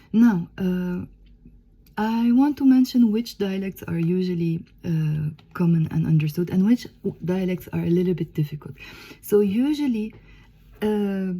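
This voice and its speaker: A woman's voice: medium pitch, mellow, breathy edge. A Lebanese woman, a native Arabic speaker, explaining things as a teacher in a conversational, emphatic way, often checking in with "okay?".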